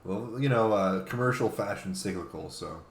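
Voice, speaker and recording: A man's voice: on the low side, moderate pace. A man co-hosting a casual, joking panel chat. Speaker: talk show co-host. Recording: echoey room.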